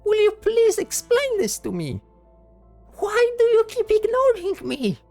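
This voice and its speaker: A woman's voice: very high voice, wildly swooping pitch, measured pace, darkish tone, faint music behind, exaggerated voice. A woman voicing a distressed magical creature in a fantasy audio drama, pleading and stammering. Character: distressed magical flying creature with jaws and flame.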